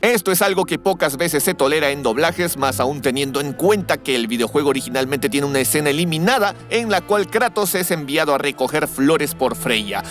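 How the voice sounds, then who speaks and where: A man with a fairly high voice presents scripted trivia as a video host. He drops in crude, slangy jokes as he goes, with music playing underneath. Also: expressive, brisk, hardly any pauses, a bit bright. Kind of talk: scripted trivia narration with joking asides.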